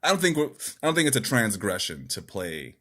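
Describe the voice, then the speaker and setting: Low-voiced man in casual podcast chat. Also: sing-song, brisk, a bit bright. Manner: informal, profane, rambling. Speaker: podcast co-host.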